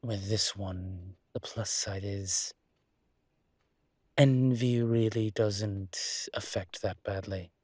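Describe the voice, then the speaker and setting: A man voicing a refined, wary, ancient demon professor in an intimate audio roleplay, with husky, measured, halting, wry and formal delivery. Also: fairly low pitch, steady tone, a little mellow, no room sound. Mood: wry, instructive.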